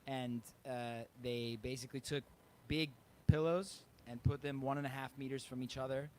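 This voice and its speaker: A man's voice: mid-range voice, steady tone, normal speed. A man who works as a touring musician, talking casually in an interview. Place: live online interview.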